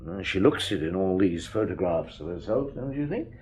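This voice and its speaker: An actor playing a calm, probing police inspector in a radio drama. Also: male voice, low voice, moderate pace, a little hiss or hum. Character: patient middle-aged police inspector.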